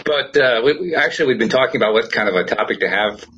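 A man's voice: medium pitch, expressive, fast, fairly bright tone, a little hiss or hum, low-quality recording. A man hosting a podcast in casual chat, chatty and laughing often. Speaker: podcast host.

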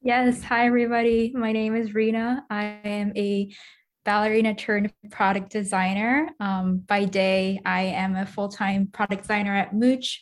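A young woman chatting casually and cheerfully as a guest in an interview. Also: mid-range voice. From grew up in Singapore and New York. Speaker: podcast guest, product designer and former ballerina.

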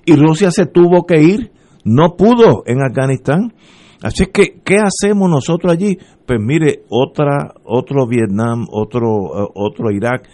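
A man's voice: medium pitch, animated, a little slow, dark tone. A man hosting a talk radio show, giving political commentary with emphatic rhetorical questions and frequent restarts.

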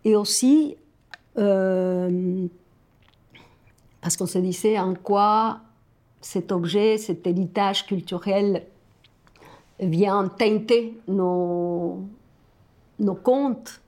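A woman's voice: medium pitch, drawn-out words, long pauses, a little mellow. A woman from Argentina, a native Spanish speaker with an Argentine accent, talks reflectively and personally to a companion, often searching for words.

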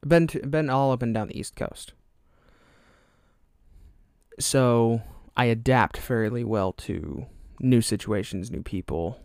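A man's voice: mid-range voice, slow, pauses often, a little mellow, smooth, dry recording, clean studio sound. A young man with a fairly neutral American accent, hosting his podcast alone, chatting casually and rambling off topic with fillers and small laughs. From Alabama. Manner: rambling, self-deprecating, loses track. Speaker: podcast host. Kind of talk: solo rambling podcast monologue.

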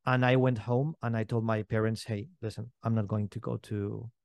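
A man's voice: on the low side, flat delivery, moderate pace, vocal fry, a little mellow, no echo. Man, dry close sound. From Spain. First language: not English. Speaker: podcast guest, entrepreneur. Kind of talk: casual interview storytelling.

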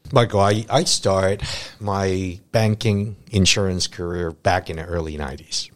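Man in his fifties, low voice, dry close mic, hesitant, stops and restarts often. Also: fairly even intonation, measured pace, no room sound. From Hong Kong, raised in Canada. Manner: hesitant, many fillers and restarts. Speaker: insurance executive, guest.

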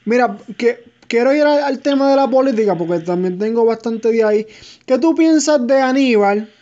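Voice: medium pitch, expressive, normal speed, mellow, lo-fi sound. A podcast host, asking interview questions between short backchannels.

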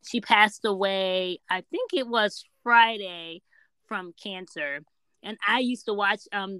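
A woman's voice: medium pitch, sharp tone, smooth, dry recording, poor audio. A woman co-hosting a casual podcast, chatting loosely with lots of fillers and restarts. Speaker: podcast co-host. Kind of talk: casual chat.

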